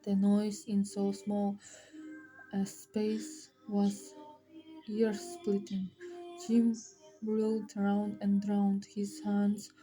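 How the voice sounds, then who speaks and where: A woman reading a fairy tale aloud as storyteller, with halting, dramatic phrasing. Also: medium pitch, monotone, dark tone, soft background music, poor audio.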